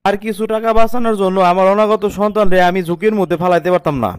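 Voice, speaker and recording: A protective, principled young cartoon husband acted by a man, with a high, smooth voice. He talks fast and earnestly in colloquial Bangladeshi speech, explaining and lecturing. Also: no echo, studio quality, close to an everyday voice. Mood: caring, reassuring. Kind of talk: cartoon drama dialogue.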